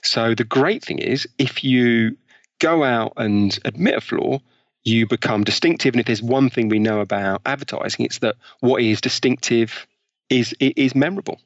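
A man's voice: mid-range voice, moderate pace, no echo. Enthusiastic man, dull dry audio. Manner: enthusiastic storyteller, explains studies with examples. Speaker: guest author. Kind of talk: podcast interview.

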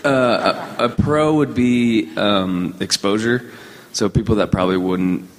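Deep, carrying man's voice in a hall. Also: measured pace. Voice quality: loud, carrying voice. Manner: hesitant, piecing thoughts together. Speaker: discussion participant. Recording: echoey hall.

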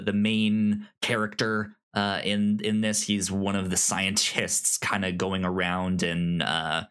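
A man hosting a review podcast in a casual, halting way, often stammering over the starts of his words. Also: sits low, a bit bright. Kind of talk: casual podcast chat, giving recommendations.

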